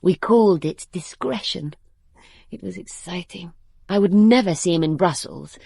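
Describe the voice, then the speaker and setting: A woman's voice: fairly low pitch, normal speed, vocal fry, mellow, husky, no echo. An actress in a British radio drama playing a blunt, free-spirited woman in love, intimate and teasing. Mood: wistful, confiding. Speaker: actress and narrator.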